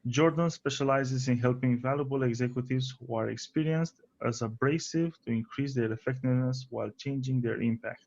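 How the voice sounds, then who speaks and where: A man's voice: medium pitch, a little fast, vocal fry, hardly any pauses, a little mellow. Man hosting a podcast interview. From Romania. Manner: friendly, laughs often, fillers. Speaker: podcast host. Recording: slightly dull lo-fi audio.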